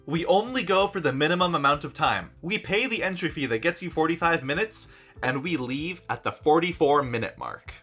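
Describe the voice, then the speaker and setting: A young man tells a travel story in a lively, joking way, slipping in dry asides. His voice is bright and a touch high. Soft music plays under him. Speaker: vlogger. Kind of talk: casual storytelling vlog.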